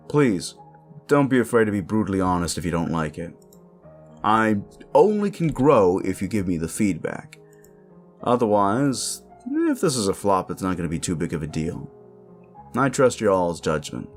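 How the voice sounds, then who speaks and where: A man with a fairly low voice talks casually as host of his own audio channel. His intonation moves up and down in a lively way as he addresses his listeners.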